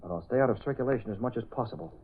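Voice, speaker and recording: An actor playing a confident, commanding newspaper boss and secret crimefighter in a 1930s radio drama, giving crisp orders and explanations. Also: male voice, medium pitch, lively intonation, rapid-fire. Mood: calculating, commanding. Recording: old radio recording, narrow band.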